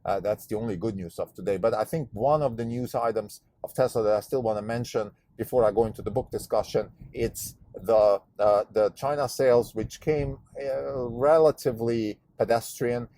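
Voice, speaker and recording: A man's voice: medium pitch, moderate pace, hardly any pauses, mellow, dry recording. A Swiss-born man whose first language is German, hosting a solo podcast and talking thoughtfully with frequent fillers and restarts. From Switzerland. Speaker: podcast host and fund manager.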